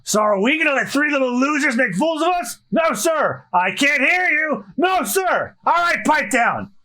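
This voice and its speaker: A man voicing a blustering, vain male pirate captain who shouts orders at his crew. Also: extremely high pitch, very animated, moderate pace, pitched above the performer's own voice, full character voice. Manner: shouty, bossy.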